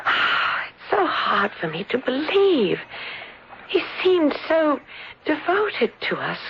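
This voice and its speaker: An actress playing a trusting, bewildered young English lady in a radio mystery, wistful and hesitant. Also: on the high side. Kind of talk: 1970s radio mystery acting. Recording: dull, noisy old radio broadcast.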